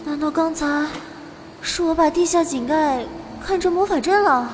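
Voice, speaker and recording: A woman playing an excitable teenage schoolgirl transported into a fantasy world in an audio drama, exclaiming and talking to herself playfully. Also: high-pitched, moderate pace, slight background noise, close to an everyday voice. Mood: pained, bewildered. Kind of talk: Chinese audio drama acting.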